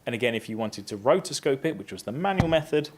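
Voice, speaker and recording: Upbeat man giving a live software demo. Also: mid-range voice, lively intonation, fast. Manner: casual and upbeat, steps through clicks. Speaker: presenter. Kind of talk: live software tutorial talk. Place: conference stage.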